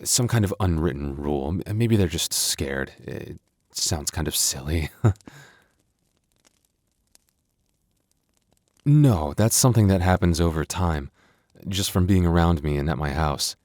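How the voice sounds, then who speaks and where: Guy doing a super low soft werewolf boyfriend for asmr, earnest n kinda stammery, really dry close sound. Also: lively intonation, moderate pace, no room sound. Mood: bashful, affectionate. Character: gentle young male werewolf boyfriend with regretful past. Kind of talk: intimate ASMR boyfriend roleplay.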